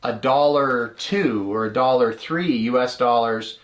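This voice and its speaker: A middle-aged man talks through a story with his co-host in an easy, unhurried way. His voice sits at an ordinary pitch, and he lifts it when he retells what someone said. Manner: relaxed, retells conversations in a livelier voice. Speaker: podcast co-host. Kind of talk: casual storytelling chat.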